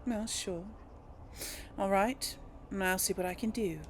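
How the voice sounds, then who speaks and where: A woman's voice: medium pitch, slow, slight background noise. A woman giving casual, musing game commentary, thinking aloud and speaking replies in character. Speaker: gaming video host.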